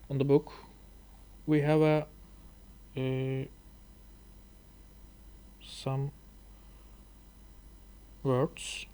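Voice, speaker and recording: A man from Turkey with a Turkish accent, a YouTuber learning English who talks and reads aloud hesitantly, with many pauses and fillers. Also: mid-range voice, dark tone. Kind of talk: halting casual reading vlog.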